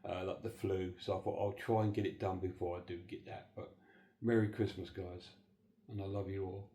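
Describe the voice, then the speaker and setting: A man's voice: deep voice, level tone, normal speed, background noise. A middle-aged man recording a rambling, earnest holiday message, slightly congested and hesitant with lots of fillers. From southern England. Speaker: horror film channel host. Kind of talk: casual holiday video message.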